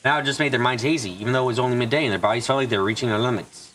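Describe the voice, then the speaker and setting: A man's voice: mid-range voice, very fast, fairly bright tone, slight background noise. A man reading translated visual novel narration and dialogue aloud as an amateur fan-dub reader.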